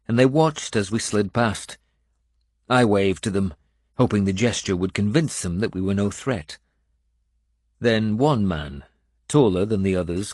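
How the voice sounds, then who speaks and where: A man reading a scripted first-person audiobook in a measured storytelling manner. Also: fairly low pitch, darkish tone, no echo, studio quality. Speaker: audiobook narrator.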